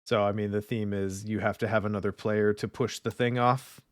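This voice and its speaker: A man hosting a podcast in casual chat, animated and playfully opinionated. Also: fairly low pitch, a little fast, dry recording, studio quality. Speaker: podcast host.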